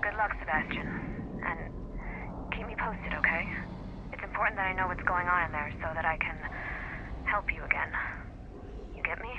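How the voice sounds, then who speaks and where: A woman's voice: on the low side, fairly even intonation, normal speed, pauses often. Over a radio, a woman plays a composed, insistent agent handler in a video game. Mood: concerned, insistent. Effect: radio filter. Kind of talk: video game cutscene acting. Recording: muffled, noisy radio transmission.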